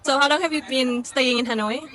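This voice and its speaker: High-voiced woman interviewer, friendly. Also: a little fast, background noise. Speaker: street interviewer. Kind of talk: casual street interview.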